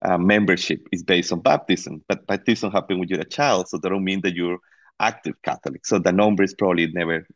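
Low-voiced man with a Costa Rican accent. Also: fairly quick, dry recording, muffled recording. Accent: Costa Rican Spanish. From Costa Rica. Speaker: interview guest, professor. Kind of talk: conversational interview.

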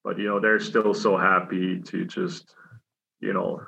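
Low gravelly man, halting, lo-fi. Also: level tone, sharp tone. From Switzerland. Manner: halting, many fillers and restarts. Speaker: hockey player. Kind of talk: casual interview answers. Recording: dull lo-fi sound.

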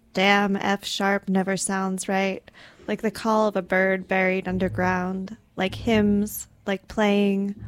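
A woman's voice: mid-range voice, a little hiss or hum. A woman reading poetry aloud in a serious recitation style. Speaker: poetry reader.

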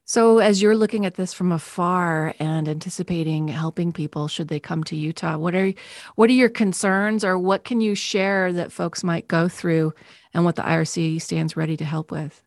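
Measured woman news interviewer. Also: on the low side, no echo, studio quality. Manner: measured, clear questioning.

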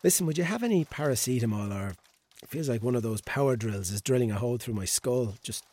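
A man's voice: medium pitch, animated, fast, mellow, slightly rough. A man acting in a naturalistic audio drama, playing a drunk or hungover young man with an Irish accent, rambling and hesitant in slangy speech. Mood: hungover, awkward. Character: drunk, hungover young man after a one-night stand.

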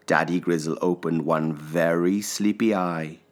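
A man narrating a children's story expressively, with theatrical phrasing and deliberate pauses. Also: low voice, fairly even intonation.

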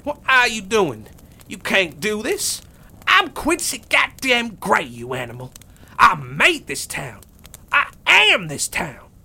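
High snooty western land baron, greedy, cruel, a coward underneath, storybook villain. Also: male voice, wildly swooping pitch, takes it slowly, vocal fry, bright, forceful tone, husky, exaggerated voice. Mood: panicked. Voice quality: haughty, showy speech.

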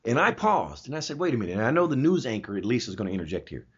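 A man with a rough, husky voice at a medium pitch talks as a show host. He explains his views with emphasis and often asks rhetorical questions. The audio is dull and a little lo-fi. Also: very animated, very fast. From Germany. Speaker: talk show host answering listener questions. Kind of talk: opinionated conversational commentary.

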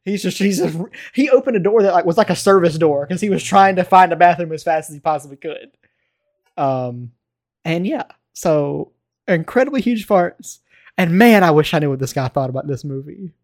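An American man chatting casually and telling stories in a rambling, animated way. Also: a little high, moderate pace, dry recording, studio quality. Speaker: podcast co-host. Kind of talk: casual chat, storytelling.

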